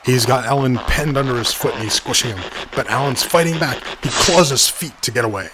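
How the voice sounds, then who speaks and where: A man's voice: medium pitch, fairly quick, background noise, close to an everyday voice. A man playing an earnest, chatty play-by-play commentator in a cartoon wrestling show. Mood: earnest, narrating. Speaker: match commentator.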